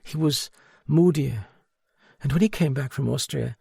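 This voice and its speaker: A man's voice: medium pitch, very animated, unhurried, a little mellow, breathy edge, dry recording, studio quality, pitched above the performer's own voice, heavily acted. A man voicing a bitter, tearful young Englishwoman with an English accent, her speech slurred and broken, swinging from surly defiance to self-pity.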